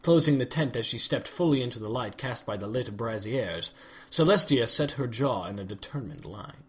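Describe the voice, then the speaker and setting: A man reading fan fiction aloud in an expressive storytelling manner. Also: medium pitch, moderate pace. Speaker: reader.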